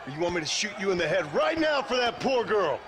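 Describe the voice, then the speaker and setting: A man's voice: high-pitched, sing-song, fast, a crowd in the background, background noise. A man playing a tough, weary American gunslinger in a video game, with an American accent and a blunt, sardonic manner. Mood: menacing. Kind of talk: video game cutscene acting.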